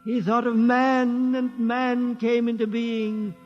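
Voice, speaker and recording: An old solemn keeper of legend. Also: male voice, very high-pitched, completely flat delivery, highs cut off, faint music behind. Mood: solemn chanting. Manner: slow, intoning recitation. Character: aged male keeper of sacred ship legend, solemn. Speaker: old-time radio drama actor. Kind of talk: 1950s radio drama acting.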